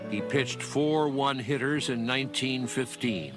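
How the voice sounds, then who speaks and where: A man delivering scripted biographical narration as a documentary narrator, in a measured storytelling manner. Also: medium pitch. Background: guitar music.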